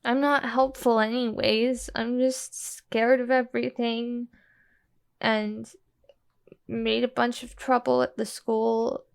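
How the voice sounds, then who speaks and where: Woman playing timid, guarded girl, higher voice. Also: little pitch movement, takes it slowly, vocal fry, smooth. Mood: downcast, anxious. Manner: terse, insecure, plain.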